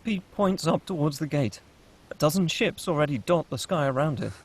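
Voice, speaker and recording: A man's voice: fairly high pitch, lively intonation, normal speed, slightly rough. A man narrating screenplay stage directions in a scripted table-read style.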